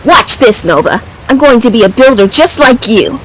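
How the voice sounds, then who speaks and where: A woman's voice: a little high, expressive, normal speed, fairly bright tone. A woman voicing a brash, grumpy, impatient young man from a video game, talking bluntly and casually. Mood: grudging, eager. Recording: clearly noisy background.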